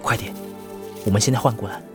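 A man's voice: lowish voice, fairly even intonation, quick pace, over music, close to an everyday voice. An actor playing a vengeful, scheming man in a Mandarin audio drama, controlled and menacing in delivery. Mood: hushed, urgent persuasion. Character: vengeful man, calm schemer turned gleeful killer.

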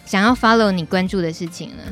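Taiwanese woman hosting, mid-pitched, music behind. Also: fast talker. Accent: Taiwanese Mandarin. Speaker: radio show host. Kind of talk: casual conversational interviewing.

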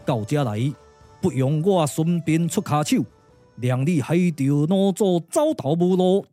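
A man voicing a calm, confident elder general with a Taiwanese accent, in measured, formal puppet-drama declamation. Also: a little high, dry recording, soft background music. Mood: resolute, grave. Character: calm, confident strategist general Sun Bin, an elder uncle.

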